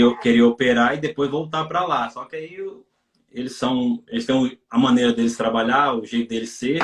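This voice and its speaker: Man, Brazilian accent, casual interview talk, rambling and repetitive, echoey room, distant from the mic. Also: mid-range voice. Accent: Brazilian Portuguese. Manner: rambling, repeats himself, many restarts. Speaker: footballer guest. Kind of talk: casual interview over a live stream. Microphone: video call. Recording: roomy and far from the mic.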